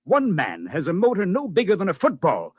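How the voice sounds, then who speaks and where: A man's voice: high-pitched, huge pitch swings, fairly quick, slightly rough, no room sound, studio quality, heavily acted. An actor playing a deferential, anxious male aide in an old-time radio drama, speaking in a hesitant, respectful, earnest way. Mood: worried, pleading.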